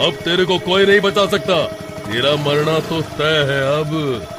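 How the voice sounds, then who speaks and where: A man voicing a menacing, gloating two-headed ghost rickshaw driver in a horror cartoon story, slow and threatening, given to evil laughter. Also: on the high side.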